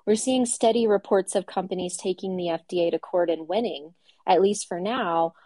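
Lower-voiced woman hosting a panel. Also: warm tone, no echo. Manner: measured, frequent fillers, poses questions to guests. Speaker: podcast host. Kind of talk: casual panel discussion.

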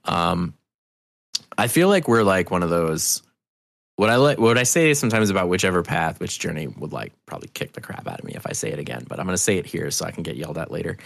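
A man's voice: sits low, expressive, quick pace, vocal fry, a bit bright, no room sound. A man talking as an interview guest, loose and enthusiastic, swearing casually as he chats. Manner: loose, enthusiastic, freely profane. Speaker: podcast guest, audio drama co-creator.

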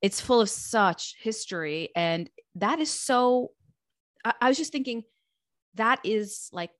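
A woman's voice: a little high, a little slow, no room sound, clean studio sound. A woman interviewing a guest, speaking with emphatic admiration. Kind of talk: interview conversation.

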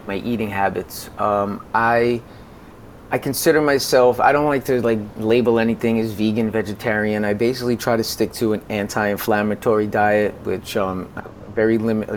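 An American man in his forties speaking conversationally as an interview guest, in a halting way that often repeats words. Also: fairly low pitch, fairly even intonation, a little hiss or hum. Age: 40s. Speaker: podcast guest, music consultant.

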